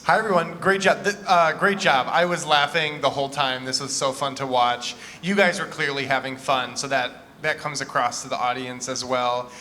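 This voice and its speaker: A man's voice: medium pitch, fairly even intonation, moderate pace, few pauses, sharp tone, a little hiss or hum. A man acting as a theatre judge gives informal, encouraging feedback to young performers, feeling his way through his points.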